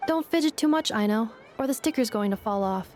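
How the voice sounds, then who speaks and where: Game role: woman playing literal-minded young android woman, formal, unruffled, fairly high voice over music. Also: lively intonation, a little fast, natural voice. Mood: calm, innocent. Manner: composed, formal, matter-of-fact. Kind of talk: video game voice acting.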